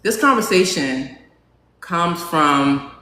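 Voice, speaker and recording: A non-binary host in their forties chatting casually with a live audience, asking them questions and filling pauses with "um". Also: medium pitch, animated, pushed and bright. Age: 40s. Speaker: live talk show host. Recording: roomy echo, faint background hum.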